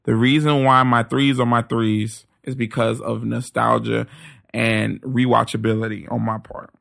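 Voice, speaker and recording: A man in casual podcast banter, animated, joking and playfully defensive. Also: mid-range voice, a little slow, gravelly. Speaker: podcast co-host.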